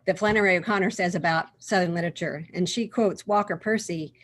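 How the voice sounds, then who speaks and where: A woman's voice: lowish voice, brisk, a little hiss or hum. A woman from Oklahoma taking part in a book discussion over a video call, thinking aloud with restarts and "um" fillers, and reading a passage aloud. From Oklahoma, USA. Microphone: computer mic on a video call.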